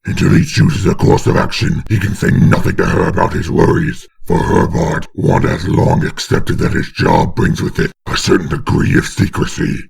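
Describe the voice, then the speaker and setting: A man with a very gravelly, breathy rasp giving dramatic scripted narration of a comic book. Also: low voice, level tone, normal speed, hardly any pauses, darkish tone.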